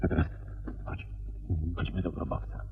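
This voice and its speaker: A man acting a shrewd, courteous and secretive private detective in a radio crime drama, with a measured, calmly authoritative manner. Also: phone-quality sound, very noisy, natural voice. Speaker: actor.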